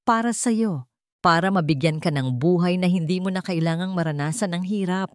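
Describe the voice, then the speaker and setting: A woman's voice: on the low side, normal speed, no room sound, studio quality, natural voice. A woman voicing a cold, ruthless older businessman father in a dramatic audio story, controlled and unrepentant. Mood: defensive.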